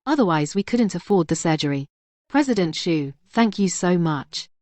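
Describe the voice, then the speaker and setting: A woman's voice: fairly low pitch, animated, normal speed, mellow, no room sound, lightly acted. An actress playing a grateful middle-aged mother recovering from surgery in a dubbed melodrama, humble and thankful in manner.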